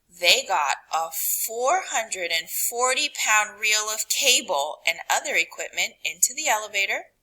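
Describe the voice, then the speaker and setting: A woman reading a picture book aloud to children, with dramatic, deliberate phrasing that drops to a hush and rises for emphasis. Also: medium pitch, poor audio. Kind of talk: expressive read-aloud narration for children.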